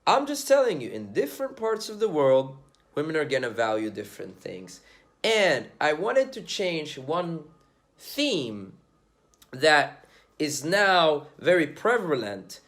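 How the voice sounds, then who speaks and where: A young man from Sweden, talking straight to his viewers in a loose, slangy way. His pitch rises and falls a lot, and it sits a little high for a man. He is blunt and swears freely. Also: big pitch swings, slow, a bit bright. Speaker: dating commentator vlogger. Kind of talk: casual monologue to camera.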